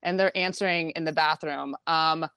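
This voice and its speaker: A woman's voice: sits low, steady tone, normal speed, fairly bright tone, clean tone, no echo. A woman tech reporter, a radio guest, talking in a chatty, wry way and laughing easily. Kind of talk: radio interview.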